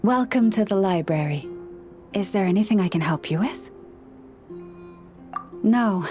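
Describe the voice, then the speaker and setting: A woman voicing a gentle, courteous librarian and keeper of relics in a video game, speaking calmly with soft formality, over game music. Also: mid-range voice, a little slow, close to an everyday voice. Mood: patient. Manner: calm, polite, softly formal. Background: game background music.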